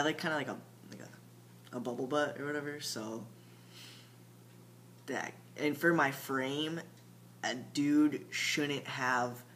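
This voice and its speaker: Young man's quiet rambling late-night vlog. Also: medium pitch. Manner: rambling, filler-heavy, quiet and mumbly. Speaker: vlogger. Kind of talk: casual late-night video diary. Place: home at night. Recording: faint hum behind the voice.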